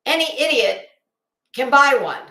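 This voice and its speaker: Female livestream host, unhurried and high in pitch, emphatic, halting and foul-mouthed, casual delivery. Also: expressive, pushed and bright. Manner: blunt, sweary, emphatic. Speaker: host of a live commentary channel. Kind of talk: casual livestream rant, chatting to viewers. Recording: echoey, roomy sound.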